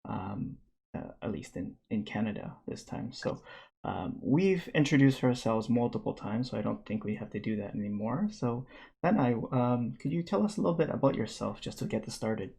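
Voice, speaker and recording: A man's voice: mid-range voice, animated, moderate pace, gravelly, dark tone, husky. A man hosting a podcast, introducing a guest and asking questions with hesitant fillers. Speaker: podcast host. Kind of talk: casual interview.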